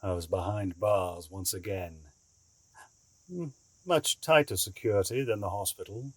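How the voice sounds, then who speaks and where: Audio drama schemer, male actor: low, measured, formal and secretive, recorded close and dry. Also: breathy edge, dry recording. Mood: grave, recounting. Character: grave, secretive male schemer, an escaped captive bargaining.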